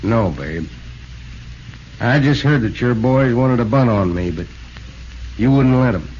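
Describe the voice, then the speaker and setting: An American man playing a gruff rival pitcher with grudging respect in a 1940s radio drama. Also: expressive, measured pace, a little mellow, natural voice. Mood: grudging, sheepish. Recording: muffled, hissy old radio recording.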